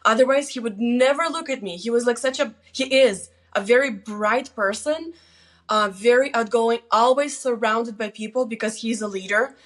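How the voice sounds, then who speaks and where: A woman in her forties, originally from Lithuania, speaks with a slightly high voice. She tells personal stories as a guest in a casual, animated style. She is self-deprecating and likes to act out quoted remarks. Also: moderate pace. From Lithuania, moved to the US as a teen. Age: 40s. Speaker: guest on her daughter's show.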